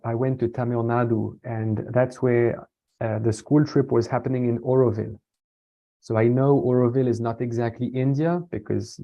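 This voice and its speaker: A man from France giving a casual online talk, relaxed and hesitant, with many fillers and restarts. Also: mid-range voice. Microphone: computer mic on a video call. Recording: dull lo-fi video call audio.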